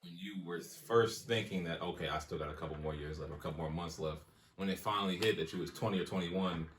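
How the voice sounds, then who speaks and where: Fast-talking deep-voiced man in an echoey room. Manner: rapid, rambling questions. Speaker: podcast host. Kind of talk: casual chat. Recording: echoey room with faint noise.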